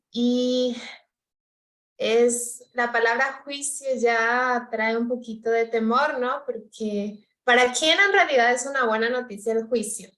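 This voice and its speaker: Host woman from Paraguay, slow, gently questioning delivery, a roomy echo. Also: a little high. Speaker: host of a youth Bible study. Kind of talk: relaxed Bible study discussion.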